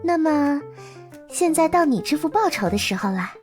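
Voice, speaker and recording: A woman voicing a gentle, playful young girl companion in a surreal game, speaking softly and unhurriedly with a caring, teasing manner. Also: sits high, big pitch swings, moderate pace, faint music behind. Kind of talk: visual novel game voice acting.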